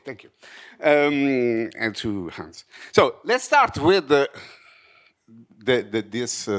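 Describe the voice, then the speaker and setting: A man, a conference discussant speaking in a halting, joking way at an academic panel. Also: mid-range voice. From France. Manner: lively, joking asides, halting. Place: conference hall.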